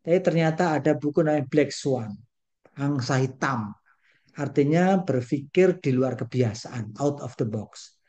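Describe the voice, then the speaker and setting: Older man lecturing, mellow voice. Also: mid-range voice, measured pace. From Indonesia. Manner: folksy, peppered with English phrases and rhymes. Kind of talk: online lecture. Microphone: video call.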